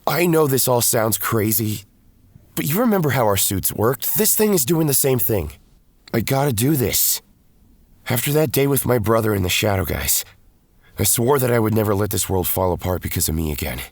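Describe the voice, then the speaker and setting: A man's voice: mid-range voice, expressive, normal speed, slightly rough, dry recording. A man playing a weary, defensive former hero pulled back into duty in an audio drama, with music behind him. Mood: urgent, resolute. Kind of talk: audio drama acting with first-person narration.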